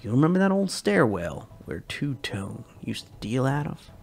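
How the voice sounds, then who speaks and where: A man voicing a weary male friend in a storybook reading, halting and low-key, close to his own voice. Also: big pitch swings, creaky, slightly rough, exaggerated voice. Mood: urgent.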